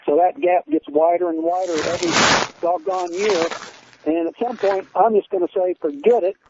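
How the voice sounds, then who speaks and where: A man's voice: sits high, steady tone, moderate pace, very noisy. A man with an American Midwestern accent, a farmer calling in to put questions in a plain-spoken, earnest way over the telephone. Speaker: caller, wheat farmer. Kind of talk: phone-in question. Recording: dull muffled telephone line.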